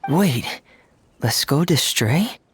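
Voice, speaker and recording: A woman voicing a shy, melancholy teenage boy in a video game, speaking softly, gently and hesitantly. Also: sits low, animated, very slow, husky, exaggerated voice. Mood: subdued, apologetic. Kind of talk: video game character acting.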